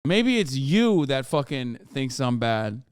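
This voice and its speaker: A man's voice: mid-range voice, very animated, slow pace, clean tone, dry recording, studio quality. A man streaming live, reacting to clips and articles in a blunt, profane, self-mocking way.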